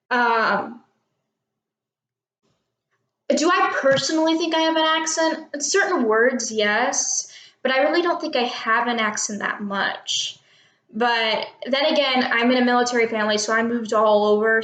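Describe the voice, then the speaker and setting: An 18-year-old woman from Texas, chatting casually to camera and reading out questions and a word list, unsure and filling pauses with ums. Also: fairly high pitch, fairly bright tone, reverberant. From Texas, United States. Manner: chatty and unsure, many ums and little laughs. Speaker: vlogger doing an accent tag.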